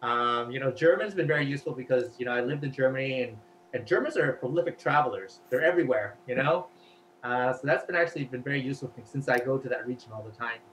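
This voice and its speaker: A man's voice: mid-range voice, fast talker, fairly bright tone, a little hiss or hum, low-quality recording. A man whose first language is Cantonese, chatting as an interview guest and explaining at length with many fillers. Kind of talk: casual interview talk.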